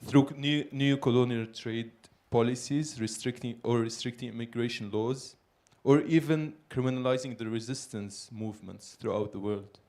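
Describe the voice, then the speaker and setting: A man's voice: mid-range voice, steady tone, normal speed, few pauses. A young man from Lebanon asking a polite, carefully worded question from the audience at a lecture. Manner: soft-spoken, polite, careful. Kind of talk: question from the floor. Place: lecture hall.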